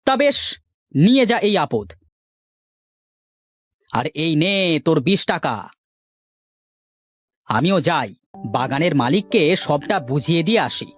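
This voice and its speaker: Woman playing a scheming village man, bossy, scornful, lowish for a woman, very animated intonation, cartoon fable, faint music. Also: slow, pauses often, no room sound, studio quality, exaggerated voice. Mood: dismissive. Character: sly, grudging village men, scheming and envious. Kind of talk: cartoon moral story voice acting.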